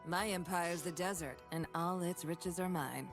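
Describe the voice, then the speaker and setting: A woman's voice: on the low side, moderate pace, natural voice. A woman voicing a defiant, sardonic desert mercenary in a video game, with dry mockery. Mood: defiant sarcasm. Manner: dry, mocking. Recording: music behind the voice.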